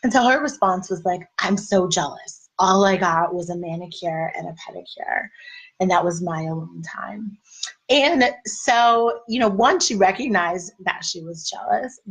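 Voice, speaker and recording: A woman in her thirties, a life and marriage coach, giving a casual, encouraging talk to wives. Her voice is a little raspy. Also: medium pitch, low-quality recording. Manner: conversational, encouraging, faith-based. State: slightly raspy voice.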